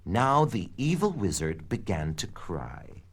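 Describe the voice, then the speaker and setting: A man narrating a scripted children's read-along story in an expressive, dramatic storytelling manner. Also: deep voice, takes it slowly, slightly rough. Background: background music.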